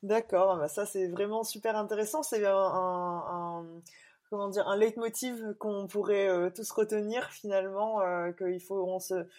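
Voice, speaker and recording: A woman's voice: medium pitch, steady tone, moderate pace, a little mellow. A woman hosting a podcast interview, asking long questions full of hesitations and fillers. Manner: hesitant, many fillers, friendly laughs. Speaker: podcast host.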